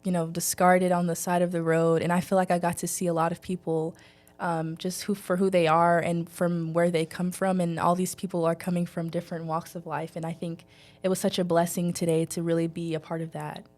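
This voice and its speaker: Young woman, slightly low pitch, flat intonation, casual student guest. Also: a little fast. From Texas, United States. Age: young adult. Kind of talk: casual chat.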